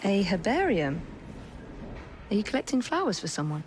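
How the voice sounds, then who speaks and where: A woman's voice: mid-range voice, very animated, moderate pace, a little hiss or hum, close to an everyday voice. An actress with an English accent playing a poised, courteous young Victorian woman in a video game. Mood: polite, friendly. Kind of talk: video game cutscene acting.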